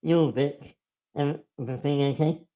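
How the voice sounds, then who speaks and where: Guy playing a bewildered sidekick in a spooky script, casual delivery, mellow darker voice. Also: a little high, steady tone, unhurried, lightly acted. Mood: concerned. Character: uneasy, bewildered driver sidekick in supernatural thriller. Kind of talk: screenplay table read.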